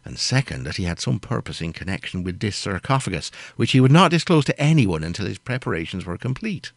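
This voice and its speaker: A man's voice: fairly low pitch, very animated, fairly quick, gravelly, dry recording. A man narrating a gothic audio drama in a scripted storytelling style.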